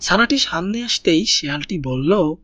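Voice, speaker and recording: A man narrating a children's cartoon story in a gentle storybook manner, speaking to young listeners as friends. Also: high-pitched, animated, normal speed. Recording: voice-over with loud background music.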